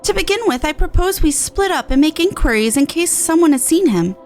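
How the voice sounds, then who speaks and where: Woman putting on a high, proper fantasy heroine. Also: expressive, a little fast, a little mellow, faint music behind. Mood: earnest, polite. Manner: formal, polite phrasing.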